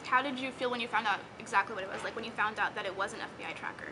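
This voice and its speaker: A woman's voice: mid-range voice, very fast. A young woman interviewing a student, asking prepared questions in a casual, hesitant way full of "like" and "okay". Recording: background noise.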